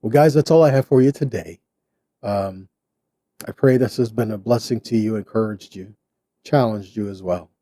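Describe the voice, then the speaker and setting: A man giving sermon-style Bible teaching in short, deliberate phrases with an earnest, exhorting manner. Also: lowish voice, dark tone, no echo, studio quality.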